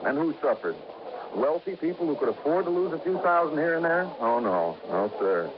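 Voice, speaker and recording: A man's voice: fairly high pitch, very animated, normal speed. Slangy old radio newsman, cynical, emphatic, really noisy muffled recording. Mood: indignant, knowing. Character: cynical, talkative male New York newspaper reporter. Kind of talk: 1950s radio drama acting.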